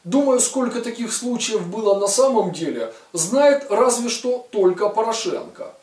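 A man's voice: high voice, animated, a little slow, darkish tone, slight background noise. A man in his forties giving sarcastic political commentary to camera, in a mocking, ironic, emphatic way. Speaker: video blogger commentator. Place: studio with a virtual set. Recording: roomy, distant sound.

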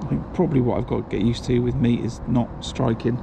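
A man's voice: medium pitch, a little fast, gravelly. A man giving a casual running commentary to himself while fishing by a pond, recorded on a body-worn camera. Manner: relaxed, muttering to himself, calls out to a friend. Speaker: angler vlogger. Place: outdoors by a pond. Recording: outdoor recording with noticeable wind and ambient noise.

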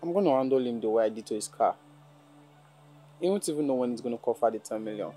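An actor playing a sly, confident scheming lover with a Nigerian accent, smug and coolly persuasive. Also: male voice, mid-range voice, animated, fast, warm tone, soft background music, lightly acted. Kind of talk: low-key film drama acting.

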